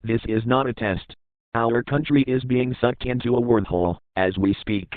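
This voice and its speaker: A man's voice: mid-range voice, fairly even intonation, moderate pace, no echo. Phone-like male emergency announcer. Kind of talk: scripted emergency broadcast announcement. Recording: narrow phone-like band, dry.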